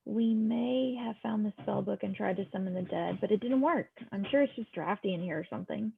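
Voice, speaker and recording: A woman's voice: mid-range voice, fairly quick, vocal fry, slight background noise. A woman playing a defensive, guilty teenage daughter, hesitant and apologetic, in a script table read on a muffled home recording.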